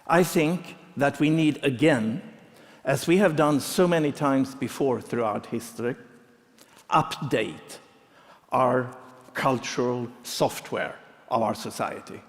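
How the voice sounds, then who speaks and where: A middle-aged man from Sweden, giving a prepared conference talk in measured phrases. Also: mid-range voice. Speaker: conference speaker. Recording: long reverb of a large hall.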